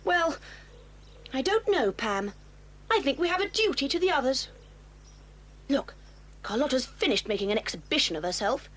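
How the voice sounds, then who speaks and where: A woman's voice: high voice, big pitch swings, normal speed, pauses often, fairly bright tone. A woman playing a snobbish, sly schoolgirl with a prim, haughty manner in a children's audio drama. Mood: nosy disapproval.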